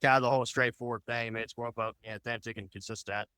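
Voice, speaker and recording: Fast-talking, clear-voiced man chatting. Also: mid-range voice, fairly even intonation, pushed and bright. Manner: rambling, loosely worded. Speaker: podcast guest. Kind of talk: casual interview chat.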